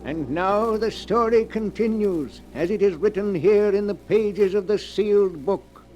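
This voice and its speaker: A man's voice: sits high, measured pace, darkish tone, lo-fi sound. A man narrating a 1940s radio mystery as a grave storyteller, theatrical and portentous in delivery. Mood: ominous. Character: grave storyteller reading from a sealed book. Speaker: narrator and host of a mystery series. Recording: noisy 1940s radio recording, music behind.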